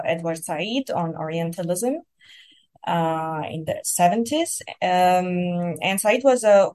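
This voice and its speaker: A woman's voice: lowish voice, rough recording. A young woman from Egypt giving an academic explanation in a podcast, with frequent ums and uhs. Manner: thoughtful, many ums and uhs. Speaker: podcast participant. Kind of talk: academic discussion.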